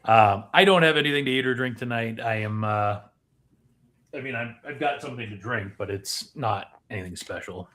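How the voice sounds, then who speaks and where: A man's voice: medium pitch, normal speed, fairly bright tone. A man with a mid-range voice hosts a live podcast. He speaks informally, as in an everyday conversation with his co-host. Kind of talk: casual live podcast chat.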